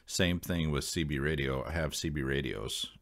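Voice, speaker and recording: A man with a very deep, low-pitched voice hosts an informal explainer vlog. He addresses viewers directly in a casual, rambling style. His delivery is halting, as if working out each point while he talks. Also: fairly bright tone. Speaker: video host.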